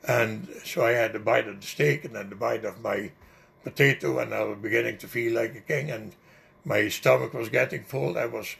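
An older man with a heavily gravelly, creaky voice tells a long memory in a relaxed, rambling way, full of small fillers. He speaks English as a second language. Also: medium pitch, normal speed. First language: not English. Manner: rambling, vivid recollection with fillers. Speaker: interviewee. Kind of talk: oral history storytelling.

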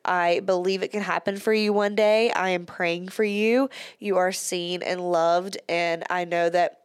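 A woman's voice: on the low side, steady tone, unhurried, smooth, no echo, studio quality. A woman hosting her own podcast in casual solo chat, a little congested from a cold, talking in a chatty way with frequent "like" and small laughs. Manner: chatty, says "like" often, laughs between words. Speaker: podcast host.

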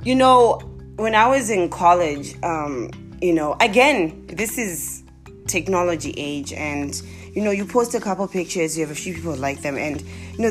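A young woman from Zimbabwe with a Zimbabwean accent, telling comic stories to her viewers in a casual, animated way with exclamations. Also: low voice. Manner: animated, exclaiming, laughing often.

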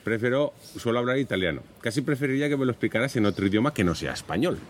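A man's voice: mid-range voice, expressive, racing. A man from Aragon co-hosting a podcast, teasing and telling a prank story with laughs. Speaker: podcast co-host. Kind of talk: casual chat, storytelling. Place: café.

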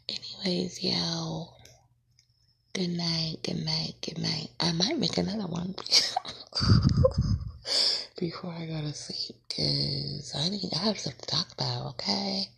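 A woman's voice: deep voice, gravelly, sharp tone, slightly rough. A woman, hosting her own casual podcast and rambling, often correcting herself and laughing at her slips. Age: late 20s. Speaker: podcast host. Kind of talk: casual solo podcast intro.

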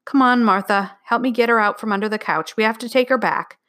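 A woman voicing a stern, exasperated father in a children's audiobook, speaking in an incredulous, deliberate way. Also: fairly high pitch, fairly bright tone, lightly acted. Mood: firm.

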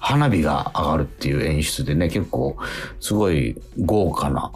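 A man's voice: extremely low pitch, lively intonation, moderate pace, breathy edge, soft background music. A man hosting a casual radio talk in a conversational way, often seeking agreement from his listener. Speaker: radio show host and stage actor. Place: remote recording.

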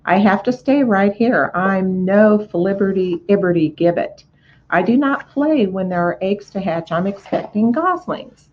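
Fussy mother goose done by a woman, chattery and repeating herself, lively voice, dull recording. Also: medium pitch, unhurried, slight background noise, natural voice.

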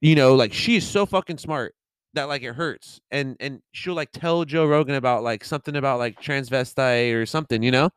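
A man's voice: medium pitch, a little fast, clear, resonant tone, dry recording. A young man co-hosting a casual podcast, rambling with lots of fillers, laughing and swearing. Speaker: podcast co-host. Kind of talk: casual chat.

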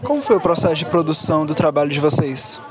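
Fast-talking Brazilian man interviewing amid crowd noise. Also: fairly high pitch, animated. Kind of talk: casual field interview. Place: congress venue with crowd. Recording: busy event crowd behind the voice.

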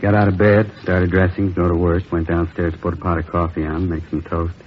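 An actor playing a somber, sympathetic middle-aged police captain with terse, halting lines in a 1950s radio drama. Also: male voice, little pitch movement, natural voice. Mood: grave. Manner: terse, halting, low-key. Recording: muffled, noisy old radio recording.

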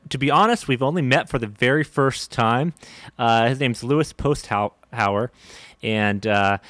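A man's voice: medium pitch, a bit bright, no room sound. A man hosting a podcast, introducing his guest with upbeat enthusiasm. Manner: enthusiastic, with fillers and restarts. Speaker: podcast host. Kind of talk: casual interview hosting.

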